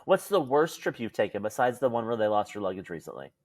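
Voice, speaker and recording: A man's voice: fairly low pitch, big pitch swings, fast talker, no room sound, clean studio sound. A man co-hosting a podcast, telling stories in an informal, conversational way. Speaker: podcast co-host. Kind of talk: casual podcast chat.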